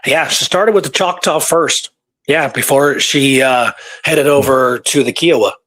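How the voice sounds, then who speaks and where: A man's voice: medium pitch, measured pace, a bit bright. A man talking casually through historical research, rambling and informal, repeating points for emphasis. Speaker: history researcher and show guest or co-host.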